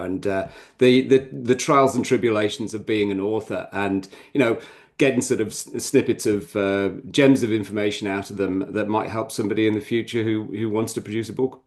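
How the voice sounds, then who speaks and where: Low-pitched man, frank and rambling. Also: fairly even intonation, moderate pace. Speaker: podcast co-host and author. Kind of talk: casual interview talk.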